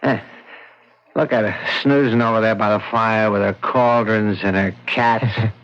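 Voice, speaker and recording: An actor playing a bossy, taunting escaped convict who leads a gang, in old-time radio drama style. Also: male voice, on the low side, steady tone, a little slow, a bit bright. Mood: sneering. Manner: bossy, taunting, tough-guy talk. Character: bullying escaped murderer, gang leader, sneering and gruff. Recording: dull, noisy old radio recording.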